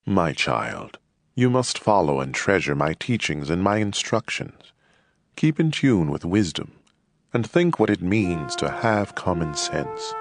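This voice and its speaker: A man's voice: low voice, very animated, a little slow, full character voice. A man playing a fatherly sage, giving solemn instruction in a dramatized scripture reading. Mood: fatherly, instructive. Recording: loud music behind the voice.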